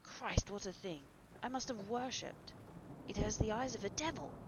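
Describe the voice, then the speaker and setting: A woman's voice: sits low, expressive, a little slow, vocal fry, background noise, heavily acted. A woman as an anguished, emphatic male painter. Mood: horrified, agitated. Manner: exclamatory, emphatic, dramatic. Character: anguished, horrified male painter, pleading and moralizing. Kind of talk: dramatic audiobook character reading.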